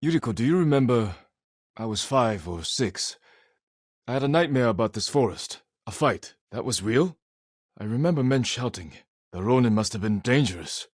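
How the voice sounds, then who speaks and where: A man acting a husky, stoic samurai. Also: mid-range voice, expressive, dry recording, clean studio sound, lightly acted. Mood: wistful, troubled. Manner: measured, restrained, solemn, short clipped sentences. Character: stoic, honor-bound young samurai lord, grave and determined. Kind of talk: video game voice acting.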